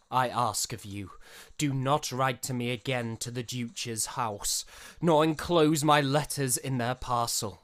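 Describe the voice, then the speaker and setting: An actor playing a proud, passionate young eighteenth-century gentleman in an audio drama, speaking formally and theatrically. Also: mid-range voice. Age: young adult. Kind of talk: period audio drama acting.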